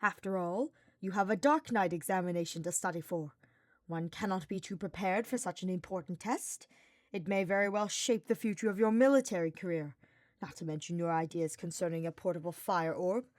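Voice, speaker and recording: A woman's voice: lowish voice, brisk, a little mellow, no echo, natural voice. A woman voicing a dour male mage who speaks in a dry, formal, sarcastic way, in a fantasy story. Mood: curt, insistent.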